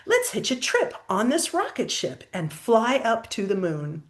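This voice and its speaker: A woman's voice: fairly high pitch, huge pitch swings, a little slow. A woman voicing a bold, adventurous female eagle in a storybook, confident and marvelling. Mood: eager.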